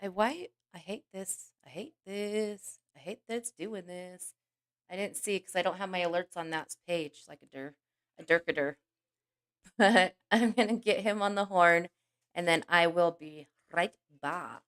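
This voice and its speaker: A woman hosting a livestream with a scratchy, hoarse voice from a cold, chatting casually and greeting each viewer by name. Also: fairly low pitch, a little slow, a bit bright. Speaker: livestream host.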